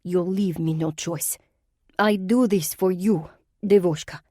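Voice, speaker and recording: A woman's voice: lowish voice, unhurried, warm tone, no echo, studio quality. A woman voicing an elegant older sorceress, speaking serenely and coaxingly with a cold edge underneath. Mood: silky menace.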